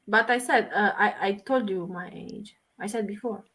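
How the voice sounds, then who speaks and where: A woman's voice: medium pitch, unhurried, fairly bright tone, breathy edge. A woman in her thirties hosting a casual chat, giggling often and asking questions. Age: 30s. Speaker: talk show host.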